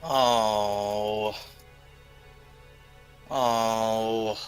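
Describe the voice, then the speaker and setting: A man's voice: on the low side, fairly even intonation, very slow, faint music behind. A man playing a tabletop roleplaying game, casually calling out his moves and numbers at the table.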